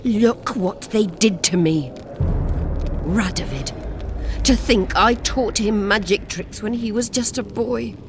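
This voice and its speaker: An actress playing a proud, imprisoned sorceress who pleads urgently for release while keeping a haughty edge. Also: female voice, mid-range voice, takes it slowly. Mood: urgent, pleading. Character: proud imprisoned sorceress, middle-aged woman. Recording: game audio with orchestral music.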